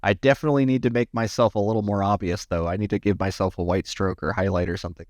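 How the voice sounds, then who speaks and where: A man chatting casually to his livestream viewers, rambling with many restarts and sounding slightly hoarse after hours of talking. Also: on the low side, fairly even intonation, fast, no room sound, clean studio sound. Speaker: livestreamer and AI art tutorial maker.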